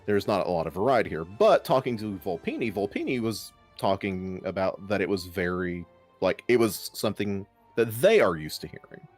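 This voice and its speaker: A man's voice: fairly low pitch, normal speed, soft background music. A man from Kentucky with a Kentucky accent, chatting casually and animatedly on a panel. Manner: animated, mocks internet opinions in quotes. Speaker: podcast panelist. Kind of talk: casual group chat.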